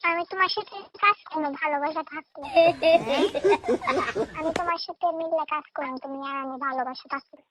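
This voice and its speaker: A muffled phone line carries a high, quick female voice. She is a young caller, insistent and pleading in casual chat. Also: background noise. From Tripura, India. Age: 20s.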